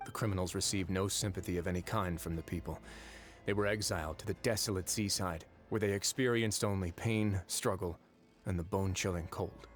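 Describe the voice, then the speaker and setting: An actor playing a composed, wry young duke in a video game, speaking in a deliberate, measured storytelling manner. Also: male voice, sits low, close to an everyday voice. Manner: deliberate, dryly wry, unhurried. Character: composed, wry young duke who runs an underwater prison fortress.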